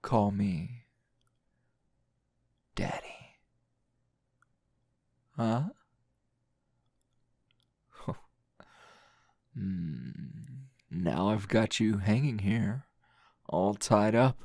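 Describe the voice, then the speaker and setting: A man playing a weary, teasing male teacher hero in an intimate ASMR roleplay, with a low gravelly voice and lingering pauses. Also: lively intonation, mellow, dry recording, clean studio sound, full character voice. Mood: teasing, seductive. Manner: slow, breathy murmuring with sighs and long pauses.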